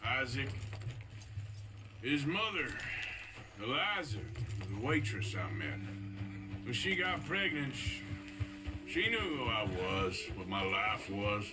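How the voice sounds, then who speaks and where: An actor playing a weary, remorseful, ailing outlaw in a video game, with an American accent and a slow, halting, reflective drawl. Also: male voice, medium pitch, very animated, background noise. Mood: wistful regret. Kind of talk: dramatic video game acting. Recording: music behind the voice.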